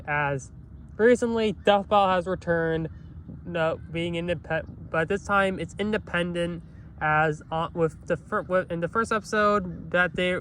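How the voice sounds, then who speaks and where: A fairly high-voiced man who sounds nasal and has a cough. He rambles through a casual review, restarting and correcting himself constantly. Street noise and passing vehicles fill the background. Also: a bit bright.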